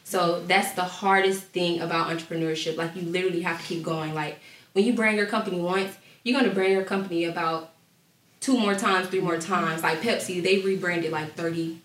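A woman's voice: on the low side, steady tone, fairly quick, fairly bright tone. A young woman, an entrepreneur, talking casually with many fillers during a panel discussion. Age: young adult. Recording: echoey room, distant mic.

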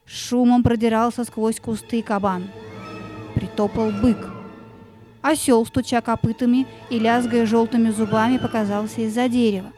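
A woman reading expressive scripted narration for a fairy-tale audio play, with music behind her. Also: a little high, normal speed.

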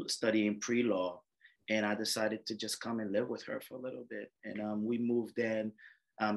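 A lower-pitched man talks through his life story in a relaxed interview. He rambles, circles back and fills pauses with "um" and "you know". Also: rough recording. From Haiti. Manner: rambling, many fillers and restarts. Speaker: emergency medicine doctor, guest. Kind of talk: casual interview, personal story.

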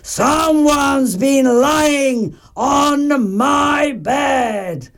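Storybook papa bear, put on by a woman: big, gruff, growly, slow and emphatic. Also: lowish voice, animated, full character voice. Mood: outraged. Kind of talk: children's storybook character voice.

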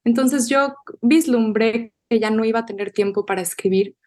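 A young adult woman poet answering interview questions in a thoughtful, measured way, often restarting small phrases as she thinks. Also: a little high, a little mellow. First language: Spanish.

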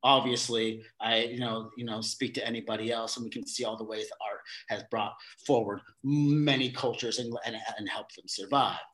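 A man being interviewed about his theater career, talking in a halting way with many restarts and repeated words. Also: medium pitch, steady tone, a bit bright, low-quality recording. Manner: acts out quoted exchanges in a livelier voice. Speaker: theater director, podcast guest. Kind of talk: casual interview conversation.

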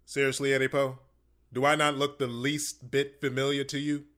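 An actor playing a sarcastic, exasperated schoolboy stuck as a butler, in a comic radio play. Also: male voice, medium pitch, flat delivery, normal speed, a bit bright, lightly acted. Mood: incredulous. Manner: sarcastic, indignant, colloquial.

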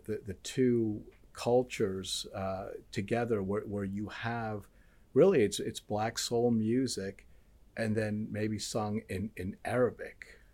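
A man hosting an interview, asking questions in a halting way with many restarts and encouraging murmurs. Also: fairly low pitch, a little mellow. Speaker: host. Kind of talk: conversational interview.

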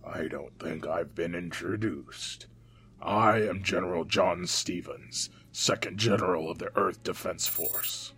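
A man's voice: sits low, measured pace, slight background noise. A man playing a stoic, resolute older military general in a sci-fi audio drama. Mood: defiant, solemn. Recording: loud dramatic music under dialogue. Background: loud music.

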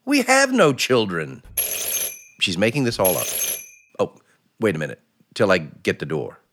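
A man's voice: fairly low pitch, wildly swooping pitch, unhurried, fairly bright tone, a little hiss or hum, exaggerated voice. A man playing an exasperated, flustered husband in 1940s radio comedy style. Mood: indignant.

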